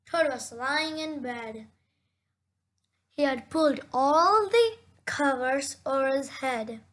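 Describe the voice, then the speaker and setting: A woman narrating a children's storybook aloud in a deliberate, simple storytelling delivery. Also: high voice, expressive, fairly bright tone. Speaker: storybook reader.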